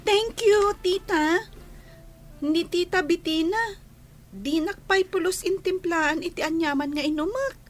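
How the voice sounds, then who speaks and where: An actress voicing a sweet, shy young girl who speaks slowly and timidly. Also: very high-pitched, faint music behind. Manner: slow, timid, childlike.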